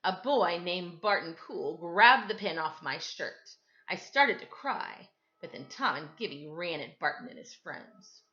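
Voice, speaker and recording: A woman reading a children's story aloud in an animated, expressive way. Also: lowish voice, a little slow, dull audio. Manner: animated, dramatizes dialogue. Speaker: storybook reader, teacher.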